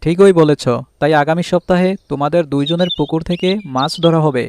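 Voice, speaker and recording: Higher-pitched village husband played by a man, noisy birdsong. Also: fairly even intonation, brisk, a little mellow, natural voice. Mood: practical, upbeat. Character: village husband of two wives, fish farmer, peacemaking. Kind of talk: Bangla cartoon voice acting.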